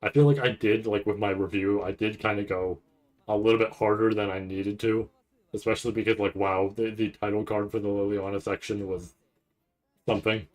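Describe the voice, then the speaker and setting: A man giving casual, rambling live commentary as a streamer, halting and restarting often as he thinks aloud. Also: lowish voice, little pitch movement. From Canada. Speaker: streamer reacting to a live anime event.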